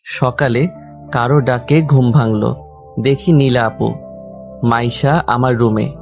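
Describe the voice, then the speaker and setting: A man's voice: medium pitch, fairly even intonation, slow, vocal fry. A man reading a scripted first-person story as its narrator and lead character. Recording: background music under the voice. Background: music.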